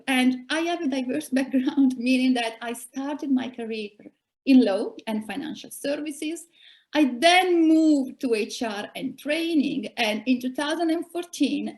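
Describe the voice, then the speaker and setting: A woman in her forties from Italy with an Italian accent, giving measured career advice as a coach in a webinar talk. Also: sits high. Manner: measured, advisory, addresses listeners directly. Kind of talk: webinar presentation.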